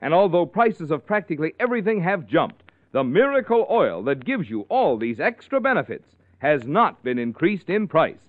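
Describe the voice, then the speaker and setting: A man's voice: fairly high pitch, sing-song, normal speed. A man reading an emphatic commercial as an announcer on vintage radio. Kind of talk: 1940s radio advertising read, emphatic slogans. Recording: echoey, tinny old radio recording.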